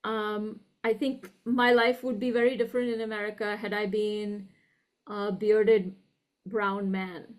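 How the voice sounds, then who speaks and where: A woman with an educated upper-class Indian English accent, speaking reflectively and articulately in an interview, deliberate and emphatic, often checking in with "right?". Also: mid-range voice, level tone. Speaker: guest, university professor.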